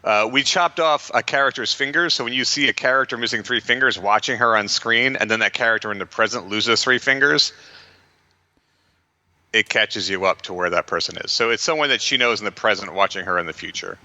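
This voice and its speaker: Very bright, forceful man, lo-fi audio. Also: on the low side, fairly quick. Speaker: guest, graphic novel author. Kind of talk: casual interview answers. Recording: dull, lo-fi audio.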